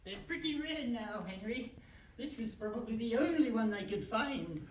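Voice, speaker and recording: An echoey, far-off old lady acted by a woman, doddery and resigned. She is a fussy radio-comedy character with a highish voice. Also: expressive, moderate pace, natural voice. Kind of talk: live comic radio play acting. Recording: echoey hall, distant from mic.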